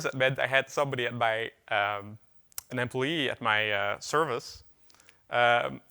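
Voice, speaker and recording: Man, from the Netherlands, bright voice, conference speaker, relaxed chatty delivery, lots of ums. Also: medium pitch. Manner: casual, filler-heavy, wry asides. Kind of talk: technical conference talk. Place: conference hall.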